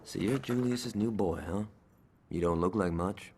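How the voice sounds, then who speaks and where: An actor playing a cocky, hot-headed young gangster with a brash, sarcastic, profane way of talking in a game cutscene. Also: male voice, fairly low pitch, normal speed, a little mellow, breathy edge. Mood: sardonic. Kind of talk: video game cutscene acting.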